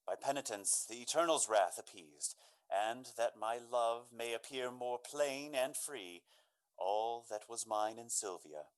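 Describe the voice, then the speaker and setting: An actor playing a noble, wounded young gentleman in a Shakespeare radio drama, declaiming his verse theatrically. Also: fairly low pitch, rough recording. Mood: solemn, forgiving.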